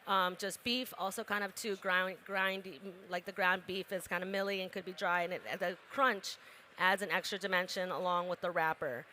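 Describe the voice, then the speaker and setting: Young woman co-owner, bright tone, quick laughing chat, faint noise. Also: mid-range voice. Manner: quick, laughing, many fillers. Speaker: restaurant co-owner. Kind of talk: casual interview chat.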